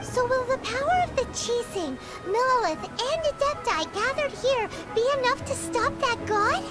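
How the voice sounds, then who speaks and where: Tiny childlike game companion, extremely high squeaky voice, excitable, nervous, loud music behind. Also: female voice, moderate pace. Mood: alarmed. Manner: refers to self in third person, exclamatory. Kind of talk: video game voice acting.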